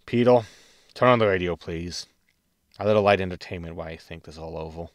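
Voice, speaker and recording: An actor playing a pragmatic, wry, world-weary spaceship captain in a science fiction audio sitcom. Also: male voice, sits low, brisk, no echo, close to an everyday voice. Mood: brisk, decisive.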